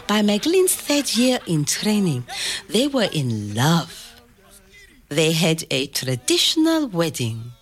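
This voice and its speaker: An older woman telling a family story to a child, slow and expressive, pausing to ask gentle questions. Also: on the low side. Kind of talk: oral history storytelling to a child.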